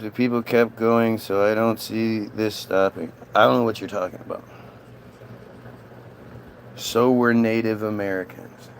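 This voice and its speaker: A man's voice: medium pitch, a little hiss or hum. A man in a casual, combative political rant, dismissive and sweary as he answers chat comments. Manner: frequent swearing.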